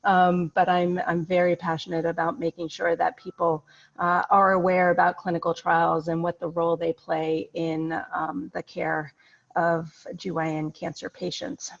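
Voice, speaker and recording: Hoarse, talkative woman oncologist, lo-fi call audio. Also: lowish voice, little pitch movement, normal speed. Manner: chatty, enthusiastic. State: hoarse, under the weather. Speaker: medical oncologist. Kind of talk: self-introduction on video call. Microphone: video call. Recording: dull lo-fi video call audio.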